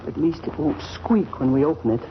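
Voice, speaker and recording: An American actress playing a calm, practical, kindly mother in a 1940s radio sitcom. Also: deep voice, fairly quick. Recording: noisy, muffled old radio recording.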